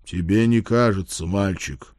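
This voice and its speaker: A man playing a weary, gruff old lord in an audiobook, dry, curt and sarcastic. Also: deep voice, unhurried, a little mellow, dry recording. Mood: stern. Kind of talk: audiobook character acting.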